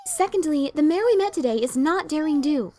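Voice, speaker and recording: A woman's voice: high voice, expressive, brisk, mellow, slight background noise. A woman voicing a sensible, scholarly young female cartoon pony, speaking in a dry, scolding, matter-of-fact way. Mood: exasperated, lecturing. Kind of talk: dramatic reading of a cartoon fan story.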